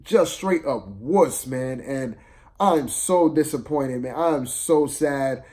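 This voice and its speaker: A man giving a casual, unscripted film review rant, exasperated and slangy, repeating himself for emphasis in a halting way. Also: mid-range voice, a little mellow.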